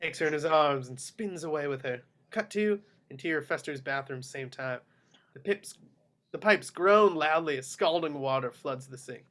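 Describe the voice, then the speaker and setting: Higher-pitched man reading stage directions. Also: normal speed, fairly bright tone. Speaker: action narrator.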